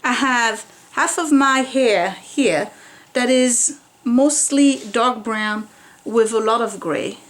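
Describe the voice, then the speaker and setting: A woman's voice: a little high, takes it slowly, a bit bright. A woman in her forties chatting candidly in a home vlog, rambling a little with fillers, filmed by a window at home. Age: 40s. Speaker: vlogger. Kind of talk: casual home hair-care vlog.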